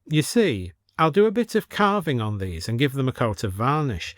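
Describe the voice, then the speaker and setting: A man's voice: mid-range voice, very animated, normal speed, fairly bright tone, no echo, clean studio sound. A man voicing a practical, enterprising village woman with a British accent in a story reading. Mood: chatty. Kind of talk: audiobook character voice.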